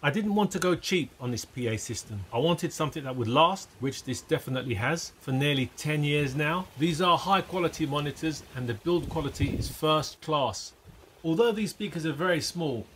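Higher-pitched man narrating gear review, some noise. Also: fairly bright tone. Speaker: host of an audio gear channel. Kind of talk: scripted product-review narration.

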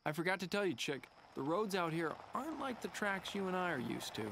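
A man playing a cocky, sarcastic young race car in an animated video game, breezy and smug. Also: high voice, fairly quick, heavy background noise. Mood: smug, teasing.

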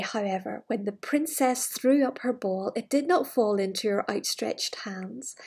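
A middle-aged woman with a fairly high, very breathy and husky voice tells a children's story. She speaks with gushing, wistful enthusiasm and drops in chatty asides as she reads. She is at home in a living room, talking to a device at arm's length. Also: a little mellow. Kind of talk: reading a fairytale aloud to children with chatty asides. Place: living room with large glass doors. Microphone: device camera at arm's length.

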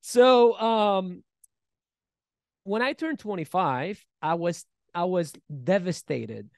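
A man in his thirties co-hosting a casual podcast, talking in an animated way with many restarts, recorded on a studio mic. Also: high-pitched, takes it slowly, gravelly, no room sound. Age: 30s. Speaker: podcast co-host. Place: bright studio room, seated in armchair. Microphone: boom-mounted studio mic.

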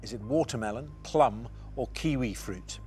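Middle-aged male quiz host, brisk and clear, studio setting with faint music under him. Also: medium pitch, darkish tone. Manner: brisk, reads questions clearly.

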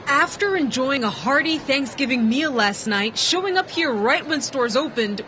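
A woman's voice: high-pitched, normal speed, slight background noise. Young woman reporting local TV news. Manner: clear, even reporter delivery.

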